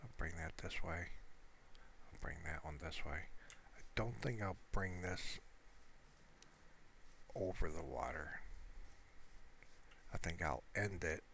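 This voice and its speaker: A man's voice: sits low, takes it slowly, long pauses. A man giving casual, unscripted gameplay commentary, rambling and hesitant as he thinks aloud. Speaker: amateur gaming video creator. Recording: steady background noise.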